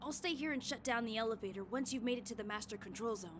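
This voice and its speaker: A woman's voice: fairly high pitch, quick pace, crowd noise, natural voice. A woman voicing a dutiful, injured young station guard in game dialogue, formal and apologetic. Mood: earnest.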